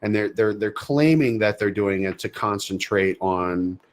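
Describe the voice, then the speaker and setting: A man's voice: on the low side, a little slow. A man co-hosting a casual podcast discussion, often repeating words as he searches for his phrasing. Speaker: podcast co-host.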